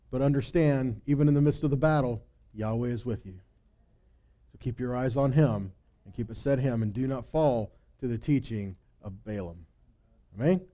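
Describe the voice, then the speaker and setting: A man teaching scripture to a congregation in an emphatic sermon, often posing rhetorical questions and dropping into quieter asides. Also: mid-range voice, highs cut off.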